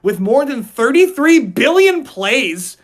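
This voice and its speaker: A man co-hosts a casual group podcast in a mid-to-high speaking voice. He talks in a slangy, animated way, swinging between louder and quieter delivery. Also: slow. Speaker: podcast co-host.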